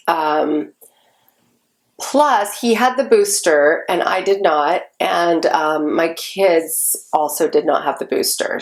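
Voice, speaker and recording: A woman in her forties, stuffy and congested, chatting casually to the camera in a rambling way with lots of ums. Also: mid-range voice. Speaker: vlogger.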